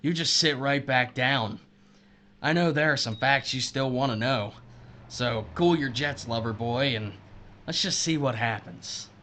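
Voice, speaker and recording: A man playing a betrayed husband turned killer in an audio drama, sardonic and taunting, with deliberate menace. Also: medium pitch, pushed and bright, slight background noise. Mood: menacing, taunting. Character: betrayed, vengeful husband turned sadistic murderer, missile silo worker.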